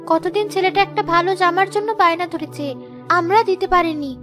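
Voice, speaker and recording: A woman's voice: very high-pitched, fairly even intonation, normal speed, over music. A woman voicing a worried, poor young wife and mother in a cartoon moral tale, with a fretful, pleading manner.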